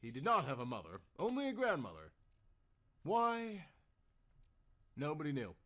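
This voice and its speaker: A man's voice: on the high side, huge pitch swings, moderate pace, a bit bright. A man narrating a scripted story in a formal, theatrical, dramatic storytelling style.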